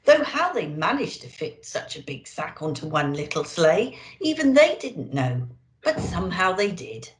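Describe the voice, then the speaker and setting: A woman's voice: fairly low pitch, normal speed. A woman reading to children expressively as a storyteller, playing a Christmas elf host. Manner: animated, addressing children. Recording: roomy indoor echo.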